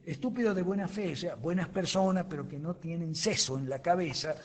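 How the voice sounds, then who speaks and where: A man's voice: a little high, brisk, darkish tone. A man teaching an online class in a heated, emphatic, digressive way over a voice-chat app. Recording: voice chat with long reverb.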